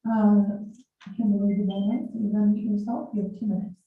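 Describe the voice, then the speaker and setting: A woman's voice: mid-range voice, steady tone, moderate pace, very echoey, narrow, tinny audio. A woman chairing a board meeting, calling speakers politely and procedurally. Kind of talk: meeting facilitation.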